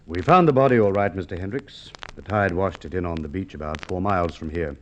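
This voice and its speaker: A man as a shrewd inspector, low voice, measured dry questioning, faint hiss, old radio drama. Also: lo-fi sound, lightly acted. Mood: probing. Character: shrewd middle-aged male police inspector. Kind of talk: 1950s radio drama acting.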